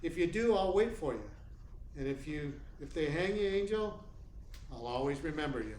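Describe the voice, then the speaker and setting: An actor playing a tough, sardonic private detective in a 1940s radio style, with clipped, commanding delivery. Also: male voice, on the high side, expressive, normal speed, darkish tone, lightly acted. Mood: grimly resolved. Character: hardboiled, cynical male private detective, tough and unsentimental. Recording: roomy echo with noticeable background noise.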